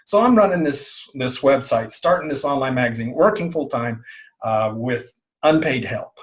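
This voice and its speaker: A middle-aged man being interviewed, talking casually and haltingly, often repeating words and phrases as he explains things. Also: mid-range voice, animated. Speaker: interview guest, online business owner.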